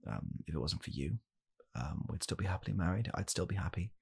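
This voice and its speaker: A young man playing a blunt, callous father in an ASMR comedy roleplay, speaking softly and haltingly in a deadpan way. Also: deep voice, vocal fry, breathy edge, heavily acted. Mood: deadpan blaming. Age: young adult. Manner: soft-spoken, halting, deadpan. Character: blunt, callous father calmly blaming his child. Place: small home room, indoors.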